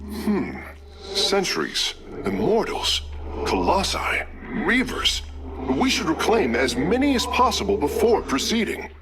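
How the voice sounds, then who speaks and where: An actor playing an eager, analytical alien engineer in a sci-fi game, speaking formally and precisely. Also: male voice, high-pitched, wildly swooping pitch, measured pace, over a noisy crowd, exaggerated voice. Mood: thoughtful, focused. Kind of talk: dramatic sci-fi game voice acting. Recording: loud game music behind.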